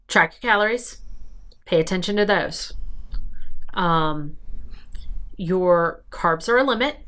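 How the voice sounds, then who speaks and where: A woman in her thirties, raspy after the flu, explaining diet basics in a chatty, casual way with many fillers and restarts. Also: fairly low pitch, a bit bright. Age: 30s. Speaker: vlogger. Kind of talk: casual how-to talk to camera.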